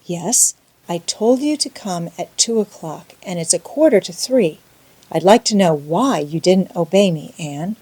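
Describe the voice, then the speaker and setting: A stern, practical middle-aged guardian from an audiobook story, acted by a woman. She speaks in a brusque, no-nonsense, scolding way. The voice is mellow and slightly husky, recorded dry. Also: on the low side, animated, a little slow, dry recording, rough recording. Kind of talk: audiobook character voice.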